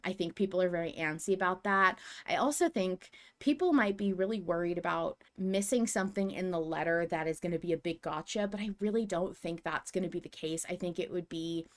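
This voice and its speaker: A woman's voice: fairly low pitch, fairly quick, few pauses. A woman co-hosting a podcast in casual discussion, speaking in an earnest, emphatic way. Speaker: podcast co-host.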